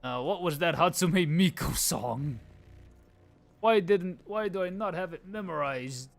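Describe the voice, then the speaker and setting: A man's voice: fairly high pitch, lively intonation, measured pace, gravelly. A man in his late twenties hosting a casual game livestream, chatting to viewers in slangy, informal talk. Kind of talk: casual gameplay chat with viewers.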